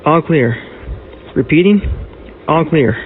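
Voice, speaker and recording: Phone-like audio, man as emergency alert announcer, higher male register, clipped official delivery. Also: animated, slow. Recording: narrow phone-like sound, faint noise.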